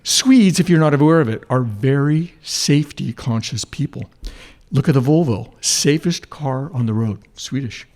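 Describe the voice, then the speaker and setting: A man telling a deadpan tall tale on stage in an event hall, chatting with the audience through rhetorical questions. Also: medium pitch, normal speed. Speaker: storyteller in a liars contest.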